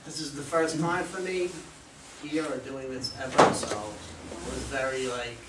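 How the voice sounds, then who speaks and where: A man's voice: medium pitch, fairly even intonation, a little slow. A man, a playwright, talking informally and hesitantly in a question-and-answer session, playing himself down. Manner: hesitant, self-deprecating. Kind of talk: informal question-and-answer after a play reading. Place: room at a live play reading. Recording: roomy distant mic, faint noise.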